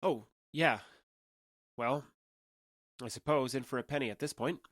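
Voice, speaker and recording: Guy acting as awkward young alien dude explaining stuff candidly, radio play, normal pitch, no room sound. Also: unhurried, fairly bright tone, no room sound, clean studio sound, close to an everyday voice. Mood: hesitant, apologetic. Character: awkward, candid young male alien trader passing as human. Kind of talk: audio drama dialogue.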